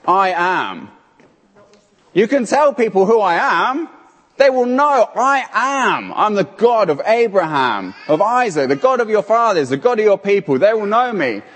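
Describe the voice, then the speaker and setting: A lively, playful man preaching in a church hall, calling people up to the front. His voice sits a little high and swings widely in pitch. The long reverb of the hall trails behind his words. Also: unhurried. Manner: playful, animated, calls on people. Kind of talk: interactive sermon. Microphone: handheld microphone.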